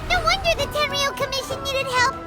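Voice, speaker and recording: A woman voicing a tiny, perky, childlike fairy companion in a video game, squeaky, excitable and cheeky. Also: fairly quick, soft background music. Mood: excited.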